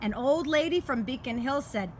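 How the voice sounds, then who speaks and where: A woman reading a children's picture book aloud in a deliberate storytime manner, outdoors in a garden. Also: sits high, expressive. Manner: deliberate, showing pictures to listeners. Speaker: storytime reader. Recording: clear outdoor background noise.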